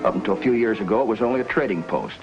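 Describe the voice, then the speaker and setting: A man's voice: on the low side, racing, natural voice. An American actor playing a courteous, confident young western congressman with an easygoing, assured manner in a 1950s television western. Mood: matter-of-fact. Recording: dull vintage TV soundtrack with music.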